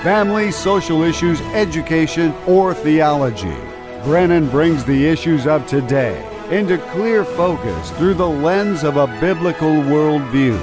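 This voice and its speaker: A man announcing a show intro in a brisk, scripted delivery. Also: fairly high pitch, expressive, over music.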